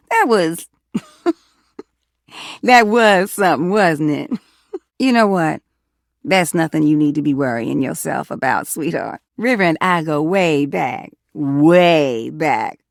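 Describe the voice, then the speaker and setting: A woman's voice: sits low, lively intonation, slow pace, vocal fry, pauses often, dry recording, studio quality, full character voice. A woman voicing a flirty, teasing, gossipy household appliance in a video game, with a Southern US accent and sweet-talking manner. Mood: coy. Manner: sweet-talking, teasing, drawling terms of endearment. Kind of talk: video game character voice acting.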